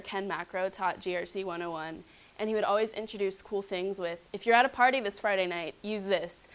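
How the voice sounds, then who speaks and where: Young woman, smooth clear voice, playful, upbeat, casual reminiscing. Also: mid-range voice, low-quality recording. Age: young adult. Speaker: graduating student. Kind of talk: casual personal introduction.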